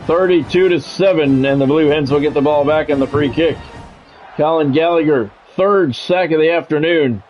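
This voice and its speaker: A man's voice: fairly high pitch, moderate pace, soft background music. A man doing football play-by-play for a video game in short, clipped phrases, with casual, dry and blunt asides. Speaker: sports video game streamer and commentator. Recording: dull, lo-fi mic with faint noise.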